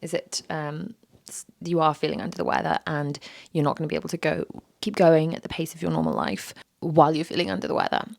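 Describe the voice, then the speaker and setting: A woman in her thirties talks through her podcast in a low, gravelly voice, roughened by a sore throat. She is candid and a little self-deprecating, and she often repeats a phrase for emphasis. The sound is close and dry, with no room echo. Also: fast, darkish tone. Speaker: podcast host. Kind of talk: reflective solo podcast talk.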